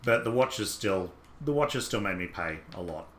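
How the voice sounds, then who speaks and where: An Australian man with a low, deep voice and a bright, forceful edge, chatting in an easygoing, rambling way as he plays through a game on a live stream. His voice rings in a roomy space with a faint hiss underneath. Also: expressive, moderate pace. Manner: rambling, easygoing, talks to himself about the game. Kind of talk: casual gameplay commentary chatting with live viewers.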